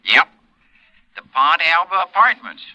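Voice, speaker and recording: A man's voice: on the high side, big pitch swings, a little slow, sharp tone, lo-fi sound, exaggerated voice. An actor playing a folksy, easygoing male informant with a drawl in a 1950s radio drama.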